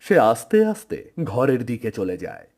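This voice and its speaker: A man's voice: mid-range voice, very animated, normal speed, a little mellow. A man giving scripted narration for a fairy-tale cartoon.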